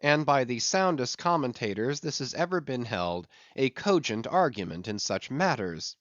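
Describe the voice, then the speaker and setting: Expressive male audiobook narrator, dry sound. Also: mid-range voice, moderate pace, dry recording, lo-fi sound. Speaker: audiobook reader.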